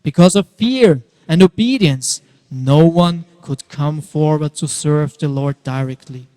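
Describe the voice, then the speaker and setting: A man narrating a dramatic scripture reading in a theatrical, emphatic way, swelling and hushing for effect. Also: fairly high pitch, measured pace. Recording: long reverberant hall echo.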